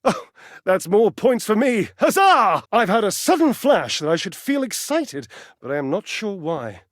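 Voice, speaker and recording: Swooping, flamboyant, vain fitness dandy. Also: male voice, high-pitched, sing-song, a little slow, dry recording, studio quality. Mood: excited, boastful. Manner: grandiloquent, melodramatic, effusive with pet names. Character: flamboyant, vain, theatrical male fitness enthusiast, affectionately teasing. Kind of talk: theatrical video game character acting.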